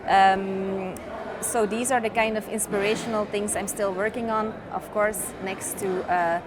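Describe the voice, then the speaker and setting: A woman's voice: mid-range voice, fairly even intonation, a little slow. A woman whose first language is Dutch, answering interview questions as a sustainability consultant in an earnest, upbeat, step-by-step way. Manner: earnest, positive, explains step by step. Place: trade fair. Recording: busy crowd noise behind.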